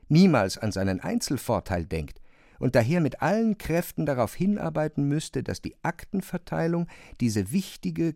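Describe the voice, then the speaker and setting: A man's voice: mid-range voice, darkish tone, no room sound, clean studio sound. A man reads scripted literary narration for a radio drama.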